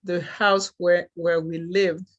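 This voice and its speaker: A middle-aged woman from Haiti, a native French speaker, recounts her life story in a slow, measured way. Her voice sits fairly low, and she often laughs softly between thoughts. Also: level tone, dull audio. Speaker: guest. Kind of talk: reflective personal storytelling.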